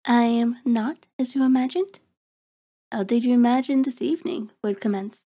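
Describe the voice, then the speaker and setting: A woman's voice: on the high side, mellow. A woman playing a cold, taunting vampire in an intimate ASMR horror roleplay, speaking with mocking, formal deliberation. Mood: smug, teasing. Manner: slow, deliberate, mocking, haughty formal diction. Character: cold, taunting, predatory female vampire toying with prey.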